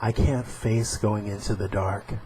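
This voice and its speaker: A man reading aloud as a grieving widow speaking to her dead husband, quiet and plain. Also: fairly low pitch, fairly even intonation, a little slow, a little hiss or hum, natural voice. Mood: bleak.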